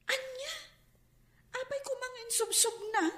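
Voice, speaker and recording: Kind pleading young lady in a radio drama, quite high voice, roomy echo. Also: measured pace, bright, forceful tone, heavily acted. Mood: friendly, concerned. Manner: coaxing, pleading. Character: kindly, persuasive young woman cousin, boutique owner. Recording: echoey room sound.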